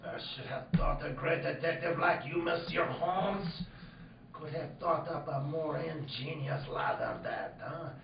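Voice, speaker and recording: A sneering French police chief acted by a man in a radio comedy, smug and accusing. He speaks slowly in a fairly high voice, distant in a noisy room. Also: big pitch swings, vocal fry, reverberant, dull audio, full character voice. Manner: sneering, drawn-out, ending on "huh". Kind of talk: comic old-time radio drama acting.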